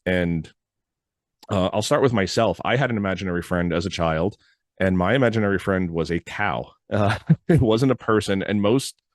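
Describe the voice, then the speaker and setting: A man from New York hosting a podcast in a casual, rambling monologue, his deep voice hoarse and froggy from a recent illness. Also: moderate pace, dry recording, studio quality. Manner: rambling, loose, with frequent "uh" fillers. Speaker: podcast host.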